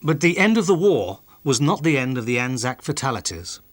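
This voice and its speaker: A man's voice: mid-range voice, big pitch swings, brisk, no echo. A man narrating a historical documentary from a script, as its presenter.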